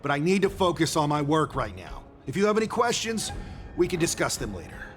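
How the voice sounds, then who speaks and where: A man's voice: fairly high pitch, sing-song, soft background music. An actor playing a busy, distracted craftsman at a shipyard, in a game cutscene. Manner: brisk, distracted. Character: busy, fussy dockyard craftsman. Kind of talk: video game cutscene voice acting.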